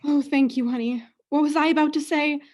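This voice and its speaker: A woman's voice: sits high, fairly even intonation, brisk, darkish tone, close to an everyday voice. A woman playing a self-absorbed, haughty mother in a student comedy radio play, theatrical and overwrought. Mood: haughty, disdainful.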